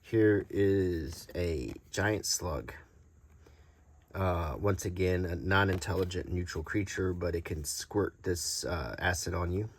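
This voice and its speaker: Low-voiced man in casual show-and-tell. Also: slow pace, a little hiss or hum. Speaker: host presenting vintage collectible game cards.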